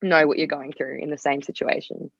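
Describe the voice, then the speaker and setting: A woman's voice: deep voice, level tone, a little fast, creaky, breathy edge, dry recording, lo-fi sound. A young Australian woman speaking as a podcast guest in a casual, candid way, rambling and often saying "like". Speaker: podcast guest, singer-songwriter. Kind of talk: casual conversational interview.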